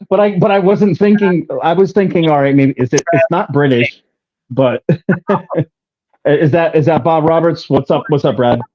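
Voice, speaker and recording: A man hosting a call-in show, talking in a relaxed, jokey way and laughing easily. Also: on the high side, measured pace, highs cut off. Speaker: host. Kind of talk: casual call-in chat.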